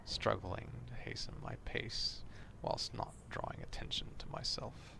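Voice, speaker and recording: A man voicing an obsessive, courtly vampire stalker in an ASMR roleplay, speaking formally and deliberately in a confiding, half-whispered way. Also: on the low side, huge pitch swings, vocal fry, sharp tone, a little hiss or hum, exaggerated voice. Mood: measured, watchful.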